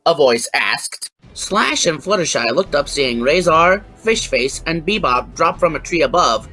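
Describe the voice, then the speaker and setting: Animated narrator reading fan fiction. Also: mid-range voice, measured pace, rough recording.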